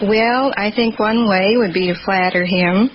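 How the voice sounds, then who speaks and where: A woman in her forties from New York State, answering politely and with measured words as a radio quiz contestant. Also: medium pitch. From New York State, United States. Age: 40s. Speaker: quiz show contestant, schoolteacher. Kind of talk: 1940s radio interview. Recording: muffled, very noisy 1940s radio recording.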